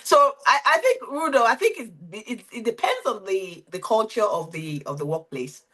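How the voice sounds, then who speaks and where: A woman's voice: on the high side, animated, moderate pace, a bit bright. A woman facilitating a career workshop on a video call, who keeps checking in with the group and asking questions. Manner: coaching, asks the group frequent questions.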